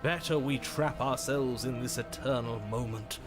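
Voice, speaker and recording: A man's voice: medium pitch, moderate pace, natural voice. Theatrical lovestruck romantic man played by an actor. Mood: fervent resignation. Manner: theatrical, florid. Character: lovestruck, melodramatic romantic hero, an inner voice. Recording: game audio with music behind.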